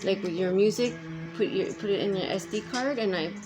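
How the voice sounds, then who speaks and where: A woman's voice: mid-range voice, fairly quick, over music. A mother chatting casually to her sons, rambling and reminiscing. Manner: chatty, rambling, talks while playing cards. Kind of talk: casual chat.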